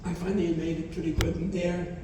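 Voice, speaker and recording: A man in his nineties, not a native English speaker, gives a halting testimony to students and often repeats his phrases. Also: a little high, little pitch movement, background noise. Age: 90s. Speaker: Holocaust survivor speaker. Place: college hall. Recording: echoey hall from far off.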